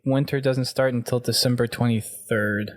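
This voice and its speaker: A man hosts a gaming podcast in casual, conversational talk. His voice sits at a middle pitch. The sound is dry and close, with very little room in it. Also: steady tone, moderate pace, no echo. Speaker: podcast host.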